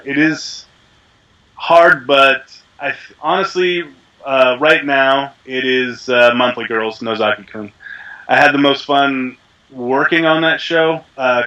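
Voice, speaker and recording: A man who is a voice actor, talking casually in an interview with a deep, resonant voice while a little sick, rambling with many fillers. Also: bright, forceful tone, lo-fi sound. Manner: chatty, rambling, self-deprecating with frequent fillers. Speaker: voice actor guest.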